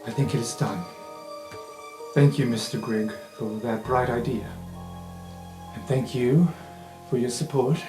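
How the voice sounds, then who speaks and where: A man's voice: mid-range voice, steady tone, a little slow, long pauses, natural voice. A man playing a blunt, boastful, battle-seasoned magic-school professor in a tabletop game, dramatic and dry. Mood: dry, concerned. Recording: roomy sound with music underneath.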